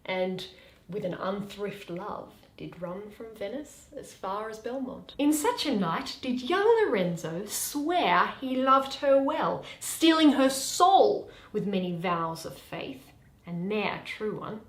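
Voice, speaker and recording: Woman doing young romantic guy reciting shakespeare, slow n breathy, swooping pitch, roomy sound. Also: sing-song. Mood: teasing. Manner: lyrical, measured, breathy at line ends. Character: tender, playful young male lover musing poetically by moonlight. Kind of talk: Shakespearean verse reading.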